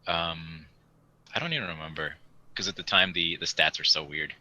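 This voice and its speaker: A man's voice: low-pitched, fairly even intonation, normal speed, pushed and bright. A young man chatting casually and in a rambling way as a podcast host. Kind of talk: casual chat.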